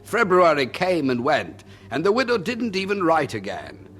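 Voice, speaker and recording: An actor playing an admiring friend who narrates in a husky, confiding, dramatic storytelling manner for an old radio drama. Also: male voice, a little high, big pitch swings, normal speed, fairly bright tone, a little hiss or hum. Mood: suspenseful.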